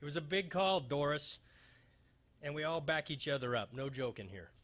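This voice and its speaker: Bright, forceful male radio-spoof detective, acted by a man, wisecracking and hapless, wry hard-boiled delivery. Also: a little high, expressive, normal speed. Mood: confident, wry. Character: wisecracking, hapless male private fire investigator narrating a noir spoof. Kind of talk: old-time radio detective spoof, staged reading.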